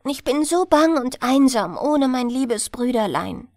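A woman storyteller plays an innocent little girl from a fairy tale, trusting and helpful. The voice is high, with lively swings in pitch and an artless, childlike way of talking. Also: a little slow, no echo, clean studio sound. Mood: sad, longing. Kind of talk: bedtime fairy-tale reading.

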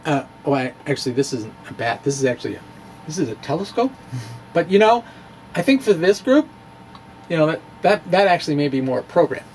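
A middle-aged man giving a dramatic reading of a science blog to camera, theatrical and emphatic, with halting phrasing and stretched words. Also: fairly high pitch, sing-song, a little mellow, slight background noise, rough recording. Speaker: amateur physicist and video blogger. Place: small indoor room with bookshelves. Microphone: handheld-style microphone on a stand, close in front.

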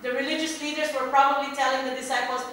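Middle-aged female preacher, high voice, big echoey hall. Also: quick pace. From Philippines. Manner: animated, gesturing, repeats words when emphasizing. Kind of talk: sermon. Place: church sanctuary, indoors. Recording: very echoey hall, a bit distant, faint hum.

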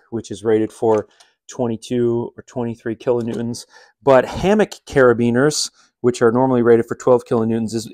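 Man, middle-aged, casual how-to walkthrough, relaxed, lots of fillers, handheld phone recording in a garage. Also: medium pitch. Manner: relaxed, rambling with many fillers. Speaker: home gym vlogger. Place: home garage, then attic. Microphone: handheld phone camera.

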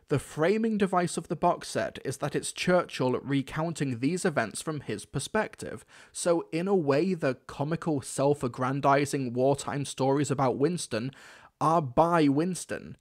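A man delivering scripted review commentary, opinionated and wry, with emphatic phrasing. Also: a little high. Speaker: reviewer, host.

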